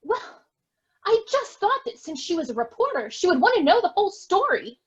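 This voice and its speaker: A woman's voice: extremely high pitch, very animated, moderate pace, highs cut off. A woman playing a guileless, meddling twin sister in an amateur online stage farce. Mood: eager, helpful. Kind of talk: amateur stage farce acting over video.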